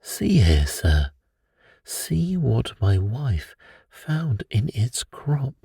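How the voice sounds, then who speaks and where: Humble, deferential Victorian commissionaire played by a male reader, excitable and amazed, husky, swooping storybook delivery. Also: medium pitch, drawn-out words, no echo, studio quality, heavily acted. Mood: excited. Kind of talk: bedtime storybook reading.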